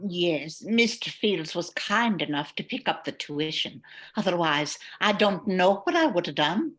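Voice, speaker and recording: A woman's voice: on the low side, lively intonation, a little slow, a bit bright. An older woman playing a slightly sarcastic housekeeper and cook in an old-time radio drama. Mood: dry sarcasm. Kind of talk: 1940s radio acting.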